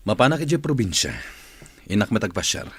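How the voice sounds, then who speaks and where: An actor playing a commanding company boss with a husky voice in a radio drama. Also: male voice, on the low side, big pitch swings, fairly quick, no room sound. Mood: firm, bossy.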